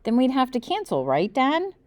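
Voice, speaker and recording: A woman's voice: high-pitched, huge pitch swings, a little mellow, dry recording, studio quality, pitched above the performer's own voice. A woman voicing an anxious young boy in a children's storybook, fretting with worried questions. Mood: pleading. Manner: fretful, rapid what-if questioning. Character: anxious, worrying young boy with big fears. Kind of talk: children's storybook read-aloud.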